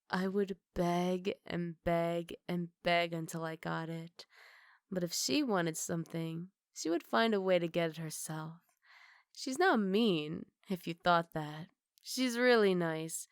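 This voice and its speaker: A woman's voice: lowish voice, clean tone, no echo, natural voice. Woman as a sweet, spoiled wolf girl, close and dry. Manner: pleading, earnest, gently coaxing. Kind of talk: ASMR roleplay acting.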